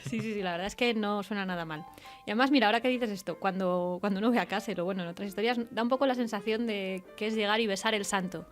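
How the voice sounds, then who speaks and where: Female radio host, Spain accent, conversational interviewing style, slightly low voice, faint music underneath. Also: fast talker.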